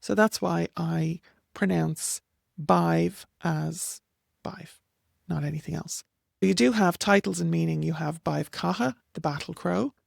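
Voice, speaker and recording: Irish woman, middle-aged, direct and emphatic teacher, gravelly fry, dry sound. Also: on the low side, unhurried, no echo. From Ireland. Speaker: author and teacher. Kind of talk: explaining pronunciation over slides.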